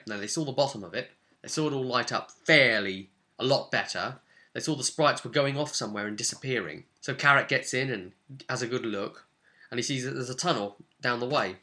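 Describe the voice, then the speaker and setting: Bright-voiced man recapping a game. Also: medium pitch. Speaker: game master recapping his own tabletop campaign. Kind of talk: casual vlog narration of a game session.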